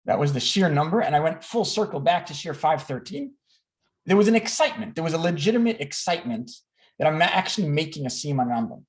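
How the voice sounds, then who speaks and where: A man's voice: fairly high pitch, lively intonation, fast talker. A man in his thirties giving a candid, self-deprecating personal talk to a group on a video call, often repeating words and restarting. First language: English. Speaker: speaker at an online learning gathering.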